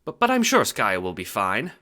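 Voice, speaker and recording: Man voicing a fussy, motherly little alien lady, pitched up. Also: huge pitch swings, moderate pace, pushed and bright, exaggerated voice. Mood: fretful. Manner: prim, old-fashioned polite, emphatic. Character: fussy, motherly small female carapacian attendant, bossy. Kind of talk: audio drama character acting.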